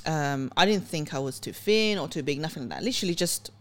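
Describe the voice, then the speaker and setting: A woman's voice: sits low, lively intonation, quick pace. A young woman from Sweden hosting a casual podcast chat, talking in a rambling way with many restarts. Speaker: podcast host.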